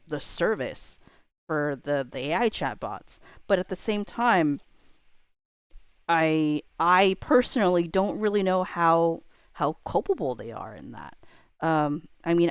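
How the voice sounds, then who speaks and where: A woman in her thirties talks in a low register, recorded dry with hardly any room sound. She chats reflectively like a streamer addressing viewers. Her delivery rambles, with hedged phrases and thoughts left trailing. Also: clean tone, no echo. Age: millennial, around 30s. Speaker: livestreamer. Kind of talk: casual reflective chat to viewers.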